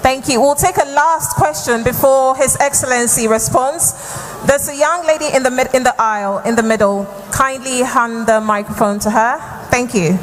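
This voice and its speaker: A woman's voice: high voice, normal speed. A woman moderating a formal public event, politely directing the audience and speakers. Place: large hall at public meeting. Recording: very noisy hall, loud crowd.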